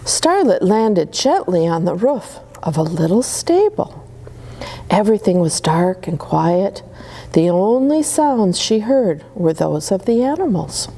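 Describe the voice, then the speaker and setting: A woman reading Christmas stories aloud to children in a gentle, soothing way. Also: mid-range voice, sing-song, a little slow. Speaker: storyteller.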